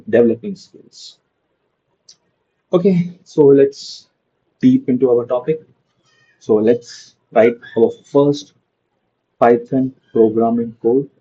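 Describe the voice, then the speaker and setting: A man's voice: medium pitch, slow pace, pauses often, mellow, slightly rough, lo-fi sound. A young man giving a casual screen-share coding lesson, padding his talk with "okay" and "so". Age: young adult. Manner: loose, repeats "okay" and "so" a lot. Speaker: programming tutor.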